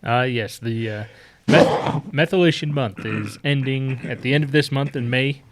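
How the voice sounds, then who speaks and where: A man's voice: medium pitch, normal speed, a little hiss or hum. Dry-spoken young man. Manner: dry, brief replies. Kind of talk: casual live-stream chat.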